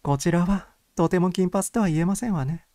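Man putting on a refined young lady, pitched up. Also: normal speed, dark tone, no room sound, clean studio sound, close to an everyday voice. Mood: curious, amused. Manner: polite, feminine, lightly teasing phrasing. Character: refined, curious, playful young society lady.

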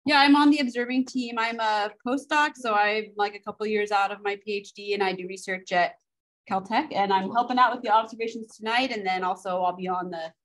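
A woman in her thirties, a postdoc, introducing herself brightly from across a control room. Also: mid-range voice, poor audio. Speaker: astronomy postdoc. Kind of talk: casual self-introduction.

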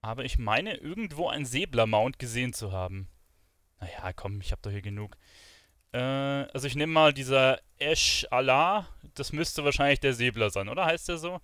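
A man's voice: mid-range voice, animated, normal speed, a bit bright, dry recording, studio quality. A man streaming a video game, thinking aloud in informal commentary sprinkled with English gamer slang. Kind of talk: casual live gaming commentary.

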